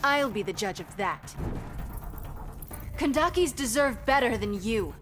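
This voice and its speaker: An actress playing an earnest young superheroine in a video game, indignant and determined. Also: female voice, on the high side, lively intonation, unhurried, slight background noise. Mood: defiant.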